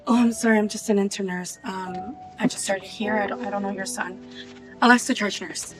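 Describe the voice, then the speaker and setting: A woman's voice: medium pitch, steady tone, a little fast, far from the mic, music in the background, natural voice. An actress playing an eager young female intern nurse in an audio drama. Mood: flustered.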